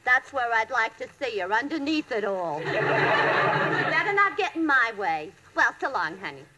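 High, brassy radio-comedy bride-to-be. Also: female voice, very animated, measured pace, over a noisy crowd. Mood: catty. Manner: wisecracking, gushing, calls everyone dearie. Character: brassy, catty bride-to-be with crude wisecracks. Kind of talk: 1940s-50s radio comedy acting. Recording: noisy old-time radio recording.

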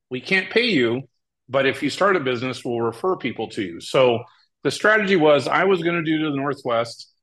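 Middle-aged male interview guest from Hawaii, average-pitched voice, conversational storyteller. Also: a bit bright, low-quality recording. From Hawaii, United States. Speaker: podcast guest, tournament director. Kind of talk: casual interview talk, storytelling.

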